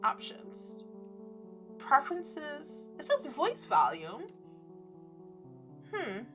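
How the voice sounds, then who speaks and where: Girl doing a visual novel lets play, super lively pitch, giggly asides, music playing behind her. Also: extremely slow. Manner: expressive, giggly, gushing asides. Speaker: gaming video creator reading and reacting to a visual novel. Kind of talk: playful let's play read-aloud with casual reactions.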